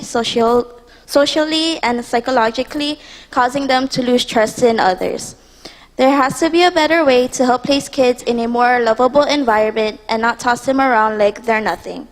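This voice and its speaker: Young woman from Hawaii, earnest student pitch, higher voice, echoing hall. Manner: earnest, reading from phone. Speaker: student presenter. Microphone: handheld mic. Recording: long hall reverb.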